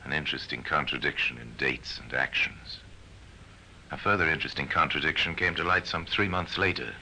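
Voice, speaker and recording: An actor playing a sardonic, portentous male narrator of an old-time radio crime drama, measured and wry. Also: sharp tone, full character voice. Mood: wry, ominous. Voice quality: very deep, gravelly. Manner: measured, wry, ominous storytelling. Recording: noisy vintage radio recording.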